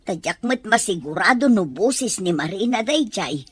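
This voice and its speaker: A woman's voice: mid-range voice, lively intonation, normal speed, breathy edge. A woman acting a caring, worried older mother and grandmother in an audio drama. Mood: concerned.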